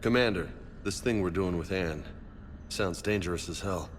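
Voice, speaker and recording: A man's voice: low voice, normal speed, a little hiss or hum, close to an everyday voice. A man playing a brash, protective marine lieutenant in a video game, blunt and informal. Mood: wary. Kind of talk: dramatic video game acting.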